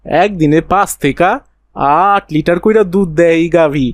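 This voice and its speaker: A man's voice: fairly high pitch, a little slow, no room sound, studio quality, natural voice. A man voicing a persuasive cattle seller in a cartoon, with a rural Bangladeshi dialect and a coaxing, salesmanlike manner. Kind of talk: cartoon voice acting.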